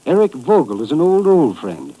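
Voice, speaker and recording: A hesitant, nervous client. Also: male voice, fairly high pitch, huge pitch swings, moderate pace, mellow, slightly rough, heavily acted, low-quality recording. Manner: hesitant, earnest.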